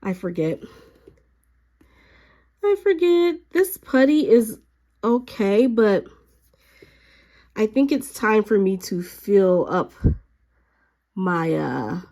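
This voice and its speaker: Woman, a little sick, slightly raspy, casual craft chat, relaxed, lots of ums, medium pitch. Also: slow, pauses often. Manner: chatty, relaxed, frequent fillers. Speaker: hobby vlogger.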